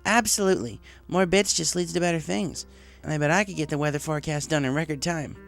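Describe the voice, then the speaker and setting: A man's voice: a little high, fast talker, vocal fry, fairly bright tone, faint music behind, pitched above the performer's own voice. A man voicing an eager, cocky young female pegasus pony in a story reading, with an informal, brash way of talking. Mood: confident.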